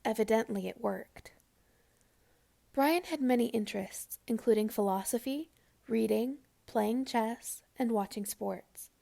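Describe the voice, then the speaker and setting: A woman narrating a scripted memorial profile, reading in a measured, solemn way. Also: fairly high pitch, gravelly, warm tone.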